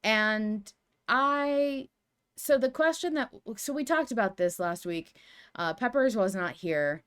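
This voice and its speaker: A woman's voice: a little high, expressive, slow pace, fairly bright tone, clean tone. A woman hosting a podcast in a casual fan discussion, explaining things carefully and with emphasis. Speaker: podcast host.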